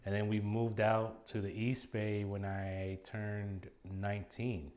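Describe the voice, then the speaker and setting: Chill guy in his late 30s, lowish voice, says like and you know a lot. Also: slow. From El Salvador. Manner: relaxed, chatty, with like and you know. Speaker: interviewee. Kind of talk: casual interview answers.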